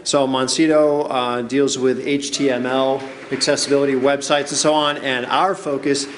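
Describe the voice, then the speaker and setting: A man's voice: mid-range voice, flat delivery, moderate pace, slight background noise. A man giving a casual conference talk, chatty and often asking "right?" to the audience. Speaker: conference presenter. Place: conference room with noise next door. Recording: roomy hall recording.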